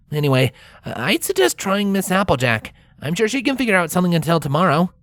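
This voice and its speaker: A woman's voice: lowish voice, sing-song, brisk, no echo, studio quality, pitched above the performer's own voice. A woman voicing a young male dragon, a put-upon helper who talks in a casual, snarky way. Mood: wry, friendly.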